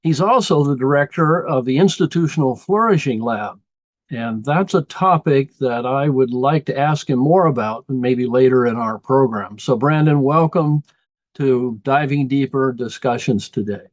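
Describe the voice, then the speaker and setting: Male interview host, steady and hesitant, dry muffled video-call sound. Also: medium pitch, no echo. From Canada. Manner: measured, hesitant with fillers. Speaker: guest host.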